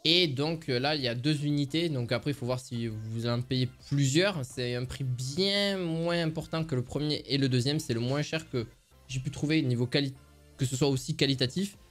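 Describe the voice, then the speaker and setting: A man's voice: mid-range voice, normal speed, faint music behind. A man giving a casual, semi-improvised product review as a presenter, explaining things in an informal, rambling way.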